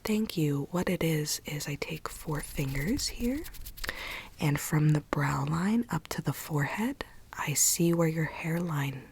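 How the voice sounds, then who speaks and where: Slow, whispering ASMR woman. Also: deep voice, expressive, slight background noise. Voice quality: breathy, unvoiced whisper. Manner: gentle, unhurried explaining to a client. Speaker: ASMR creator role-playing a microblading consultant. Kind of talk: ASMR consultation role-play, whispered.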